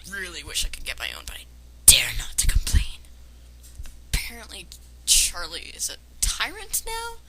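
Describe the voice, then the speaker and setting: A woman's voice: mid-range voice, wildly swooping pitch, moderate pace, pushed and bright, in a whisper. A woman reading a story aloud and breaking in with casual, sarcastic, nitpicking commentary, on an amateur recording. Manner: sarcastic, nitpicking, hesitant in asides. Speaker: amateur reader and commentator of fan fiction. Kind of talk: dramatic reading of a story with casual mocking asides. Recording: clearly noisy amateur home recording.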